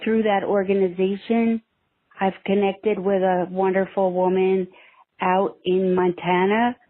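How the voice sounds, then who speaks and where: Slow-talking woman on a phone line. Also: medium pitch, flat delivery. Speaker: podcast listener leaving a voicemail. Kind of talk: conversational personal account in a voicemail. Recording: narrow tinny phone line.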